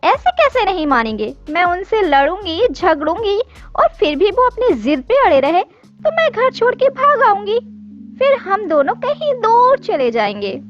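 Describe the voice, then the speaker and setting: A woman voicing a spoiled, bold young woman from a rich family in an animated story. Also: very high voice, a little slow, voice pushed up, full character voice. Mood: coaxing, bold. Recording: dull lo-fi audio with music.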